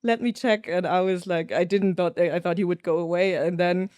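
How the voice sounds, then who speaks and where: Smooth-voiced woman telling travel stories. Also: on the low side, moderate pace, dry recording, clean studio sound. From Germany. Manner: thoughtful storytelling, lively when quoting others. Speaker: podcast guest. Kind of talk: casual conversational interview.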